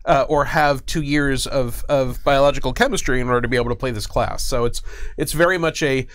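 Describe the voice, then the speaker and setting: A man explaining game design in a studio interview as a guest designer, speaking in deliberate, emphatic, wordy explanations. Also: mid-range voice, a bit bright, no room sound.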